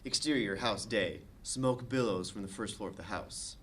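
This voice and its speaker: A man narrating the stage directions of a comedy screenplay at a table read, with expressive delivery. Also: on the low side, normal speed, a little hiss or hum.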